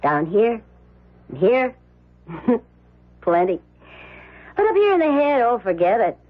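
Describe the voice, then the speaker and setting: Ditzy American gold-digger, husky, coquettish drawl, breezy and frank, tinny old radio drama sound. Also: female voice, on the high side, sing-song, slow, full character voice. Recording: tinny, narrow vintage radio broadcast.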